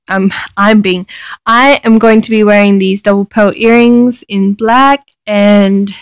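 A woman's voice: medium pitch, poor audio. A young woman in her twenties talking casually into her phone, rambling with lots of fillers and self-corrections, first showing her packing and then filming herself in an airport terminal. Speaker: vlogger. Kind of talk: casual vlog chat. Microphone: phone held at arm's length.

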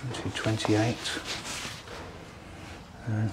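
A man's voice: fairly low pitch, monotone, slow pace. An older man giving casual walking commentary and reading aloud on a handheld camera. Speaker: vlogger visiting a historic site. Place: outdoors in village ruins and inside an old schoolroom. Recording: handheld outdoor recording with clear background noise.

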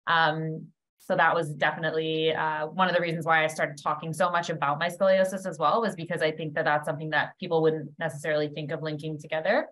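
A woman's voice: deep voice, little pitch movement, fast, a bit bright. A woman in her thirties with a Canadian accent, chatting informally as a podcast guest and telling personal stories. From Canada, born in Trinidad. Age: 30s. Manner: informal, peppered with "like". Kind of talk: casual chat, personal storytelling. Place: indoor room, video call.

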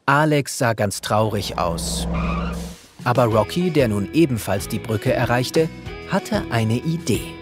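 A man's voice: mid-range voice, animated, measured pace, over music. A man narrating a children's audio drama as a scripted storyteller.

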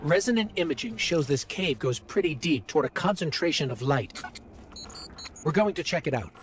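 A man's voice: fairly high pitch, animated, normal speed, a little hiss or hum, close to an everyday voice. A man playing an earnest, anxious little robot companion in a video game, speaking in a precise, analytical way. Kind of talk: scripted video game dialogue.

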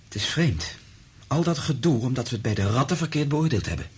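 Earnest, husky male scientist. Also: medium pitch, expressive, fairly quick. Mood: pensive, explaining. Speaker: actor in a radio play. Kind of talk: Dutch radio drama acting.

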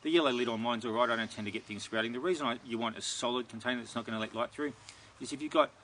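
A middle-aged man answering viewer questions about his garden in a relaxed, rambling way, recorded outdoors in a backyard. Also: medium pitch, racing, a little hiss or hum. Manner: relaxed and rambling, many ums and restarts. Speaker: gardening video host. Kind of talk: casual Q&A, reading viewer questions.

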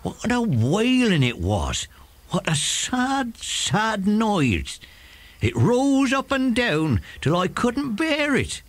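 An actor playing an old, plain-spoken country shepherd in a children's audio drama, slow and full of wonder. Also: male voice, high-pitched, wildly swooping pitch, heavily acted. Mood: troubled, puzzled. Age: older.

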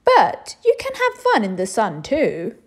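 A woman's voice: on the high side, huge pitch swings, unhurried, slightly rough, heavily acted. Woman as an exasperated, wailing storybook king. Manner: wailing, explanatory. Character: exasperated king, father explaining to his child.

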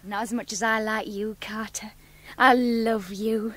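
A woman's voice: a little high, steady tone, measured pace, dry recording, natural voice. An actress playing a coy young woman who prattles on to her fiancé. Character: prim, chattering young fiancée.

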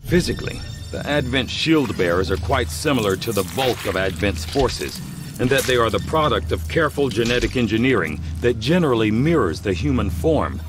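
An actor playing a learned scientist dictating in a video game, formal, precise and measured. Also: male voice, medium pitch, animated, lightly acted. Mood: clinical curiosity. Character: learned male alien-autopsy scientist, measured, fascinated, formal. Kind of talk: scripted video game narration. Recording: music behind the voice.

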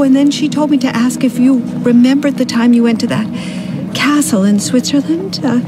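Actress doing flustered middle aged mom, kinda high voice, rambles, radio drama with music behind. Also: female voice, natural voice, poor audio. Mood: rambling, fretful. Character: fretful, rambling American mother, middle-aged, genteel.

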